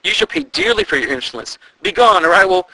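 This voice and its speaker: A man's voice: medium pitch, animated, brisk, sharp tone, no echo, rough recording. A man voicing a proud, greedy ancient queen with an imperious, commanding manner in a storybook reading. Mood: shrill alarm.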